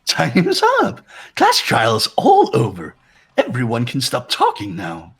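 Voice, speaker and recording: Gleeful evil game mascot, raspy n taunting, sing-song, voice flying all over the place. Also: male voice, medium pitch, huge pitch swings, slow pace, exaggerated voice. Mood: mocking. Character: gleefully sadistic mascot villain, playful and mocking. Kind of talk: hobby voiced game playthrough.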